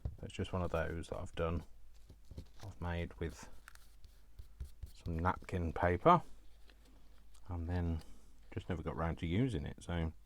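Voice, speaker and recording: A man's voice: deep voice, unhurried, pauses often, fairly bright tone. A man, chatting casually while he works on a craft project and making self-mocking jokes. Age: 50s. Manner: rambling, self-mocking, jokey asides. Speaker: crafting channel host. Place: home craft room. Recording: faint background noise and clicks.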